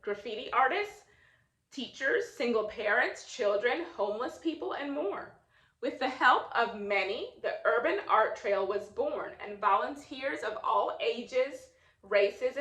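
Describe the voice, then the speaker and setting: Casting: female storyteller for a kids' picture book, animated and unhurried, recorded in an echoey room. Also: on the high side. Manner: expressive, deliberate, engaging listeners with questions. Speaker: read-aloud storyteller, educator. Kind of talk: children's picture book read-aloud.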